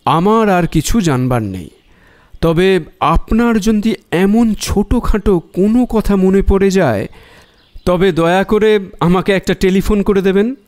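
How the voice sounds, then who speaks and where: A man's voice: sits high, unhurried, darkish tone. A man acting a shrewd, courteous private detective in an audio drama, probing and decisive in his talk.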